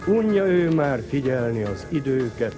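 A man's voice: mid-range voice, big pitch swings. Man theatrically reciting poetry over music. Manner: theatrical, weary, brooding delivery. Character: weary old tower clock, bitter watchman of centuries.

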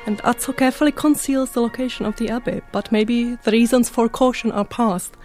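A woman's voice: a little high, steady tone, brisk, a little mellow, faint music behind. A woman whose first language is Czech, an audience member and physicist asking a prepared question carefully.

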